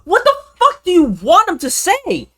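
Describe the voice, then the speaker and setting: Animated, bright-voiced young male streamer. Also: very high voice, normal speed, slight background noise. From Venezuela. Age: young adult. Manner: animated, slangy, swearing, repetitive. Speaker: streamer reacting to a video. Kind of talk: casual livestream reaction chat.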